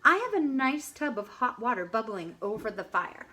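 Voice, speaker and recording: A woman's voice: fairly high pitch, sing-song, moderate pace, bright, forceful tone, voice pushed up. A woman voicing a sly, hungry male wolf in a storybook, with theatrical, sing-song gloating.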